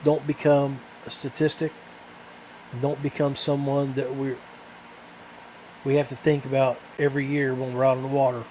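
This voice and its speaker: A man hosting a fishing podcast in a casual, rambling, halting way that often trails off. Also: medium pitch, flat delivery, a little mellow, a little hiss or hum, low-quality recording.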